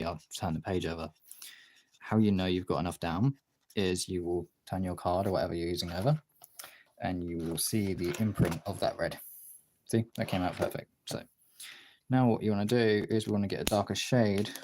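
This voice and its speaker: Low creaky voice, casual art tutorial. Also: darkish tone. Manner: rambling, thinking aloud while working. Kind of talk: casual hands-on tutorial chat.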